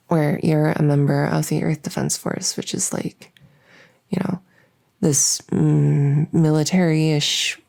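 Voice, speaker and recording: A woman's voice: low voice, vocal fry, warm tone, exaggerated voice. A woman playing a shy, lonely, socially awkward vampire who wants friends, talking in a hesitant, rambling way in an ASMR audio roleplay. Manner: hesitant, halting, rambling, full of self-corrections.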